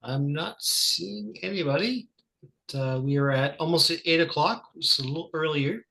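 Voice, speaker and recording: A man with a bright voice and a lot of vocal fry speaks casually as the helper in an online meeting. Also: on the high side, moderate pace. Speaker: video meeting technical helper.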